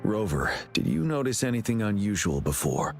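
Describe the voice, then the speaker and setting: An actor playing a calm, commanding male leader in a game cutscene, speaking in a composed, measured way. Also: fairly low pitch. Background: game music.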